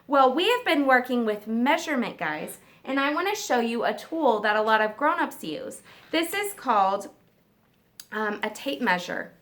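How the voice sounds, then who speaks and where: A woman teacher reading a nonfiction book aloud to children, stressing key words and pausing for simple questions and explanations. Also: fairly high pitch, sing-song, fairly bright tone.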